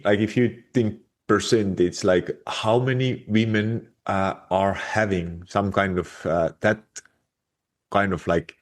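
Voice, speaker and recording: A man from Finland with a Finnish accent, hosting a podcast and asking questions hesitantly. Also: low voice. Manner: hesitant, many fillers and restarts. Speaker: podcast host.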